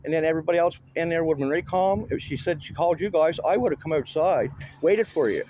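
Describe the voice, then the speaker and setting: A man speaks in a high, soft-edged voice. He argues his points insistently in plain, colloquial language. The sound is muffled, with faint noise, as picked up by a handheld camera. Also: fast. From Canada. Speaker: citizen auditor filming police. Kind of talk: casual argumentative conversation.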